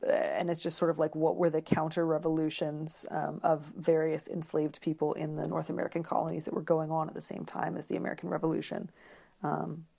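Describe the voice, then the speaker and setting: A woman with a notably low, creaky voice talks through ideas as an interview guest. She speaks conversationally, often pausing and doubling back as she searches for the right word. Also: a little mellow. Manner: hesitant, searching for words with many pauses and repeats.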